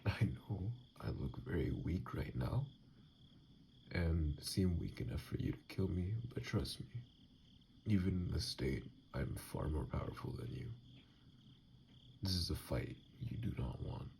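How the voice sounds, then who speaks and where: Male actor as wounded self-loathing vampire, heavy vocal fry, low pitch, near-whispered, brooding, halting, ASMR audio drama. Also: lively intonation, a little mellow, a little hiss or hum, exaggerated voice. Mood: weak, wary. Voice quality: gravelly, breathy, often near whisper.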